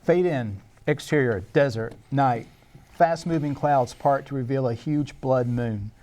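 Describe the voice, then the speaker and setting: A man's voice: mid-range voice, a little slow, a little mellow. A man narrating screenplay action and scene headings at a table read, in a scripted, dramatic style.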